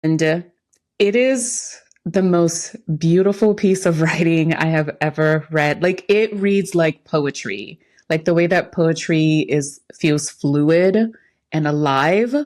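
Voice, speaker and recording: A woman's voice: deep voice, slow, darkish tone. A woman co-hosting a podcast in casual, candid conversation, telling stories effusively with frequent asides. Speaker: podcast co-host.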